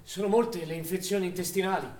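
Male actor as wartime chronicler for radio drama, measured and grave, high pitch, roomy echoing sound. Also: steady tone, darkish tone, lightly acted. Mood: grave, factual. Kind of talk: scripted documentary theatre narration. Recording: echoey room, distant from microphone.

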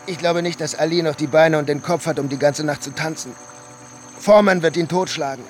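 An actor playing a streetwise, self-assured boxing fan in a radio play, talking insistently in a conversational way. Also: male voice, fairly high pitch, brisk, soft background music, close to an everyday voice.

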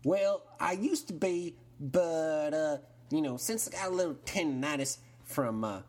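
A dim-witted country caller with a put-on Texas drawl, as a man plays him, rambling through his story with lots of filler words. The voice sits a little high and comes out husky. He sounds like he is calling in to an improvised comedy sketch. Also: measured pace, a little mellow. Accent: Texan, put on.

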